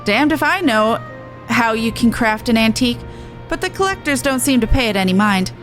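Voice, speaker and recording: A woman's voice: on the high side, lively intonation, moderate pace, fairly bright tone. A woman game streamer reading dialogue aloud with chatty reactions, stumbling and correcting herself as she goes. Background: video game music.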